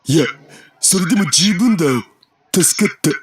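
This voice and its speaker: A man's voice: sits high, expressive, fast, slight background noise. A male actor voicing an evasive, easygoing young friend in a Japanese visual novel, in a hesitant, guarded drawl. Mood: earnest.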